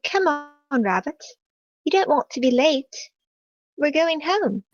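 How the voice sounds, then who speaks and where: An actress plays a dreamy girl of eighteen in a screenplay read. Her voice is high and she takes her time, playfully beckoning. The recording is a little muffled and lo-fi. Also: sing-song, slow pace, heavily acted. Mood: playful urging. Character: playful eighteen-year-old dream girl in a blue dress.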